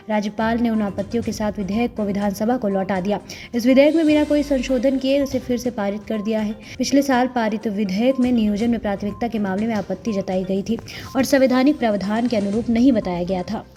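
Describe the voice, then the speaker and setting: High, mellow woman reading scripted news. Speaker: news narrator.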